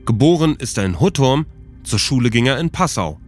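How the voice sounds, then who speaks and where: A man's voice: medium pitch, very animated, fairly quick, soft background music. A man reading scripted narration as the host of a documentary podcast.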